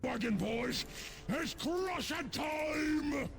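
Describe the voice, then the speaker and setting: A brutish Cockney orc warlord from a video game, high-pitched and thick with gravel. He bellows crude slang slowly, gloating and hungry for battle. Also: expressive, a bit bright, raised voice, soft background music, exaggerated voice. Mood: battle-hungry glee. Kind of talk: video game villain acting.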